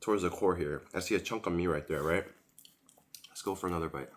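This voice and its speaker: A man's voice: low-pitched, fast talker. A man reviewing a sandwich while eating it, chatting casually and recovering from a cold, recorded at home on a camera-mounted mic. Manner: chatty, rambling, leisurely tasting commentary. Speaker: food vlogger. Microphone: camera-mounted shotgun mic.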